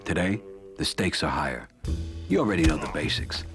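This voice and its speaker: Low-voiced, calculating mafia boss. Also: male voice, lively intonation, over music. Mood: measured. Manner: measured, advising. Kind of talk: video game crime drama acting.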